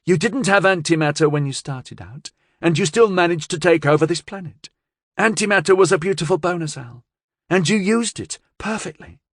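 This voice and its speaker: A man's voice: high voice, moderate pace, fairly bright tone, dry recording, clean studio sound, voice pushed up. A man voicing a cool, confident gangster's woman in an audiobook, speaking in a firm, assured, coaxing way. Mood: persuasive, assured.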